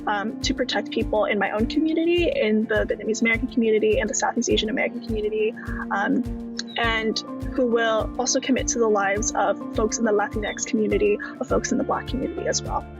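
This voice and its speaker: A woman speaking earnestly in a self-recorded video, pausing with fillers as she states what she wants from a candidate. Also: a little high, steady tone. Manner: earnest, thoughtful, with hesitant fillers. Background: music.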